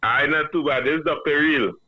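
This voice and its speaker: A man with a Trinidadian accent, calling in to a radio show and giving a measured, earnest opinion. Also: on the high side. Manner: measured, earnest, reasoning aloud. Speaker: caller. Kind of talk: call-in radio commentary. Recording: dull, dry phone line.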